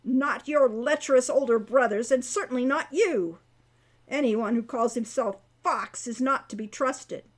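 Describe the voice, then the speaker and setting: A woman's voice: fairly high pitch, measured pace. A woman voicing a stern, angry centaur father in a storybook, with formal, scolding speech. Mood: furious.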